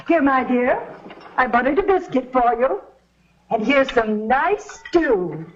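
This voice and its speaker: A woman's voice: high voice, lively intonation, takes it slowly. An actress playing a doting, motherly boarding-house woman in a vintage Western drama. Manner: doting, fussing. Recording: dull lo-fi soundtrack, faint noise.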